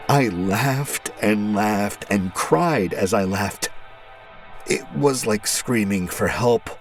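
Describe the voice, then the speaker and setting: A haunted, exhausted man , acted by a male narrator, tells his horror diary in a very low voice. He speaks slowly and gravely, irritable and coming unhinged, with music underneath. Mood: distraught. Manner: slow, grave, haunted delivery. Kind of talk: dramatic first-person horror narration.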